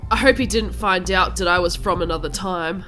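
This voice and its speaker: Melodramatic woman narrating over loud music. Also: on the low side, fairly quick. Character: melodramatic teenage goth vampire girl. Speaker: narrator and lead voice. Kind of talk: dramatic comedic fan fiction narration.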